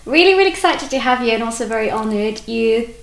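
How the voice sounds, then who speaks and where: A woman's voice: on the high side, animated, fast, vocal fry, fairly bright tone. A woman hosting a podcast interview, chatty and encouraging with frequent affirmations. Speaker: podcast host.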